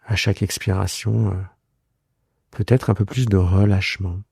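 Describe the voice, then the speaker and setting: A man guiding a yoga relaxation meditation in a soothing, unhurried way, leaving long pauses between short phrases. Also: deep voice, fairly even intonation, vocal fry, a little mellow, slightly rough, no echo, studio quality. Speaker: yoga nidra guide and host. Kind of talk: guided relaxation meditation, improvised.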